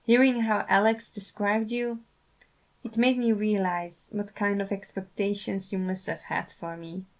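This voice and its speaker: A woman voicing a supportive, sincere man in a fan fiction reading, speaking earnestly and gently. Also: mid-range voice, level tone, measured pace, natural voice. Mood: apologetic, earnest. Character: supportive, sincere man reassuring his friends.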